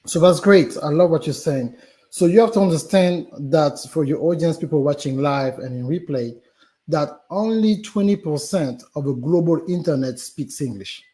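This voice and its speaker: French-accented man, mellow and animated. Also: fairly high pitch, normal speed. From Gabon. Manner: animated, with many fillers and restarts. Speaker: guest business expert. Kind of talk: live stream interview.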